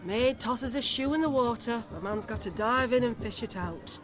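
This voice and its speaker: A woman's voice: on the high side, moderate pace, over music, natural voice. Actress playing a folksy, plain-spoken village woman. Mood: explaining, cheeky. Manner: folksy, matter-of-fact. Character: plain-spoken rustic villager explaining a wedding game. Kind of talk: video game cutscene acting.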